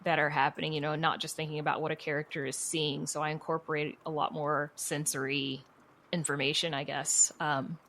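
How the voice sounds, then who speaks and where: A woman's voice: low voice, flat delivery, brisk, fairly bright tone. A woman speaking casually and candidly as an interview guest, chatty and informal, peppering her talk with "you know" and "like". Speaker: interview guest, writer and audio fiction producer.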